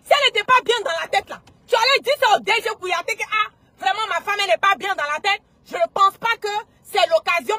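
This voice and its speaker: A woman's voice: very high voice, sharp tone, dry recording. A woman with an Ivorian accent delivering an indignant, emphatic and mocking political rant as an online commentator, often repeating herself for effect.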